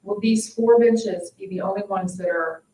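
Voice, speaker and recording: A woman's voice: mid-range voice, fairly even intonation, moderate pace, mellow. A woman who is a board member, speaking carefully and precisely as she raises questions in a meeting. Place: indoor public board meeting room. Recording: echoey room, distant mic, background noise.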